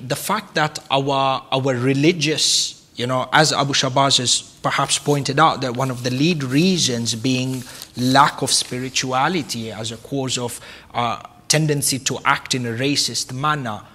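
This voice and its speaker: Bright-voiced man moderating a panel. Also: mid-range voice, long reverb tail. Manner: frequent short agreements, long winding questions. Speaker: talk show host. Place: TV studio stage.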